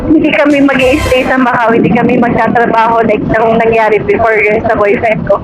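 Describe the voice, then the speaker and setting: A woman's voice: on the high side, fairly quick, over music, poor audio. A young Filipino woman chatting effusively as a motorcycle taxi passenger, telling a personal story. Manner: effusive, excited. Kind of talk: casual chat, personal storytelling. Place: outdoors on a moving motorcycle. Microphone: helmet intercom. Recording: band-limited intercom, loud road and vehicle noise.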